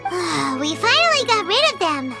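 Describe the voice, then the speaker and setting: A woman voicing a tiny, chatty female fairy companion in a game, with a squeaky, bright voice. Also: big pitch swings, moderate pace, heavily acted. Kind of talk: game dialogue. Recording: game audio with loud music behind.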